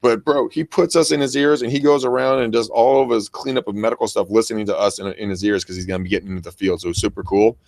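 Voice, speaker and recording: Male co-host, American, very loud, enthusiastic and bro-ish, loose unscripted chat with slang and cursing, recorded dry. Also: fairly low pitch, steady tone, fast talker, few pauses, no echo. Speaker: co-host of a real estate training show and agent. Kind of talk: casual unscripted show chat with shout-outs. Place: private office.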